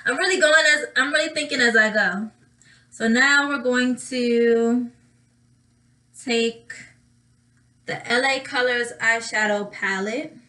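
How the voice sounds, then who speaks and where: A young woman with a slightly raspy voice chatting casually through a makeup tutorial, thinking aloud and pausing as she works. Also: on the high side, bright, forceful tone. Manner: thinking aloud, drawn-out pauses while applying makeup. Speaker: makeup vlogger.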